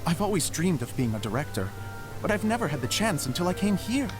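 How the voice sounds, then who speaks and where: An actor voicing an earnest male theater director in a game, speaking in a gently theatrical storytelling manner. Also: a little high, big pitch swings, quick pace, rough recording. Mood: proud. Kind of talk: game voice acting. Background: game music.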